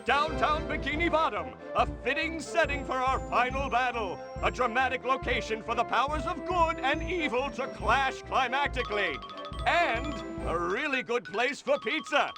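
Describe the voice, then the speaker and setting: A man voicing an excitable cartoon newscaster in a video game, announcing melodramatically. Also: very high-pitched, normal speed. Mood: dramatic. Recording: music behind the voice.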